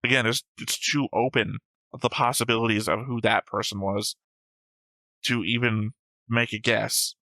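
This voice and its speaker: A man's voice: medium pitch, little pitch movement, a little slow, pushed and bright, dry recording, poor audio. A man co-hosting a reaction show, talking casually and analytically through an anime episode in an explanatory way.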